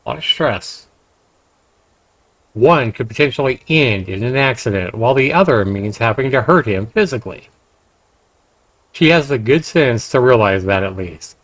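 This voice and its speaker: A man's voice: mid-range voice, lively intonation, normal speed, long pauses, fairly bright tone, dry recording, rough recording. A man hosting a game livestream, reading narration aloud and dropping in casual comments. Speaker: livestream host.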